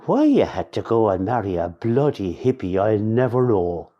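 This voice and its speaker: An actor playing a grumpy, grieving older Irish father in a radio play, gruff and sardonic in manner. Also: fairly low pitch, big pitch swings, moderate pace, mellow, highs cut off. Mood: gruff affection.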